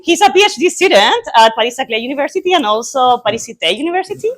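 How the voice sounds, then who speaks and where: A young Chilean woman hosts an informal event in accented English, her first language being Spanish. Her voice sits high and moves in lively swings as she chats her way through announcements and introductions. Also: racing. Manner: chatty, informal. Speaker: event host introducing a speaker.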